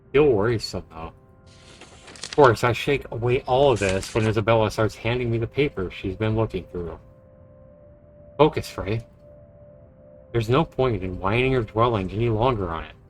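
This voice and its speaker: An actor playing a brooding, guilt-ridden but dryly charming man in a visual novel, measured and reflective. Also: lowish voice, close to an everyday voice. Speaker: narrating protagonist. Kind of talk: visual novel voice acting, introspective narration and dialogue. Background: music.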